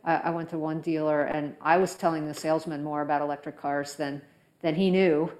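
A woman with a notably low-pitched voice works as an interview host. She speaks conversationally, in halting, thoughtful phrases broken by frequent pauses. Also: steady tone, a little mellow.

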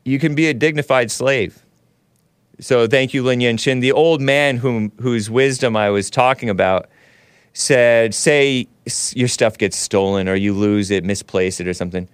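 A man's voice: mid-range voice, normal speed, clean tone, no room sound, studio quality. A man with a nasal voice hosting a casual, opinionated talk show, rambling, laughing often and chatting with viewers. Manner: rambling, laughs often, chats with the live chat. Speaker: show host.

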